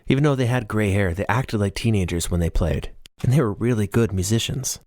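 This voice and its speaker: A man's voice: lowish voice, a little fast, a little mellow, no room sound, studio quality. A man reading a first-person novel as scripted audiobook narration.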